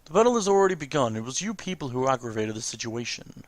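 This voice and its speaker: A man's voice: medium pitch, big pitch swings, quick pace, no room sound, natural voice. A man voicing stern, haughty fantasy warriors from a game, reading their dialogue aloud in a declamatory, confrontational way. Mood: stern, challenging.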